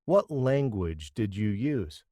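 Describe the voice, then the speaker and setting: A man playing an interviewer in a scripted practice interview for English learners, reading questions clearly. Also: fairly low pitch, very animated, slow, dark tone, no room sound, clean studio sound.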